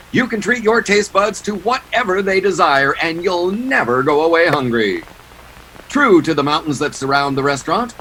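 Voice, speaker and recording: Man playing an animated 1940s radio announcer. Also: fairly high pitch, slight background noise, rough recording. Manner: polished, showy salesmanship. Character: polished, showy 1940s male radio announcer. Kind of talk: 1940s radio sponsor read and sign-off.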